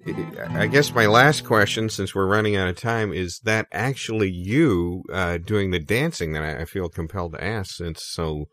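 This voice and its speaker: A man playing a politely puzzled interviewer in a deadpan improvised comedy, speaking haltingly. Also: low-pitched. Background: guitar music.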